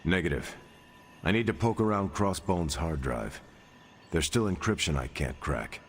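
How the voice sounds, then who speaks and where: An actor playing a dutiful soldier and team leader in a video game cutscene, speaking in a calm, measured way. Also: male voice, sits low, slight background noise. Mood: focused. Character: steady, dutiful male soldier-hero leader.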